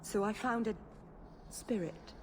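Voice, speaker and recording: A woman's voice: medium pitch, unhurried, close to an everyday voice. A woman playing an earnest, stubborn young elf mage in a video game cutscene, speaking with open emotion. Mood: earnest, defensive. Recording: noisy game ambience behind.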